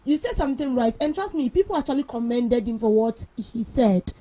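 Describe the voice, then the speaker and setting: A woman's voice: a little high, expressive, warm tone. A young woman with a Ghanaian English accent, co-hosting a breakfast radio talk show and firmly arguing her views on the news. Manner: emphatic, quick to cut in with firm opinions. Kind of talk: casual radio chat about news.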